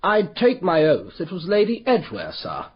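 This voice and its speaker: High-pitched, deferential butler. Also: male voice, wildly swooping pitch, moderate pace, slightly rough, exaggerated voice. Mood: earnest, sure. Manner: deferential, formal servant's speech. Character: deferential, earnest male butler. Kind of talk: radio drama dialogue. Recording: dull lo-fi radio drama audio.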